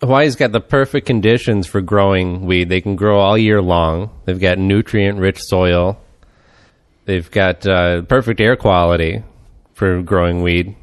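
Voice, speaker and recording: A man's voice: low voice, unhurried, clear, resonant tone, no echo, clean studio sound. A man hosting a casual chat, explaining things in a rambling way with many restarts and fillers. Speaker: podcast host. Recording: talk over a song playing.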